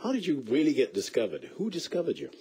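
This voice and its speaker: Male radio host with a husky low voice, animated and joking with a guest. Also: fast talker. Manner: lively, playful, jokey asides. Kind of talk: radio interview. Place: radio studio.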